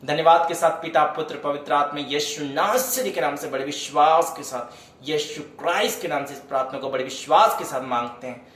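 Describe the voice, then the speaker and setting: A man's voice: a little high, brisk, fairly bright tone, roomy sound. A man preaching a devotional sermon, fervently urging his beloved listeners and then praying aloud for healing. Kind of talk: sermon with worship singing and prayer.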